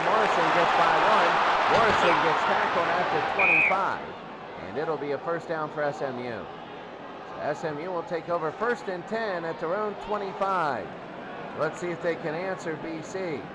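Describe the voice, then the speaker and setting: A man doing live play-by-play American football commentary in short clipped phrases, repeating his calls as he follows the play. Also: a little high. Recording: noisy recording with loud crowd din. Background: loud crowd noise.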